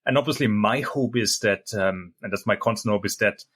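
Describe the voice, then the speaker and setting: Middle-aged German man as interview guest, lowish voice, halting and filler-heavy delivery. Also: fairly bright tone. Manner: hesitant, many fillers and restarts. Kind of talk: casual interview chat.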